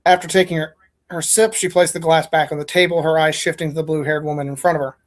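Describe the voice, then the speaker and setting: A woman's voice: low voice, level tone, fast. A woman hosting a casual podcast, chatting informally and a little hesitantly, and reading fan fiction aloud.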